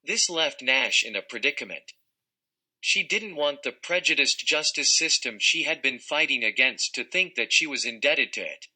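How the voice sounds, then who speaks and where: A man narrates a written text in a scripted read-aloud style. His voice is very bright and crisp-edged, with a forceful delivery at an average pitch. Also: expressive, moderate pace. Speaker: narrator reading an article.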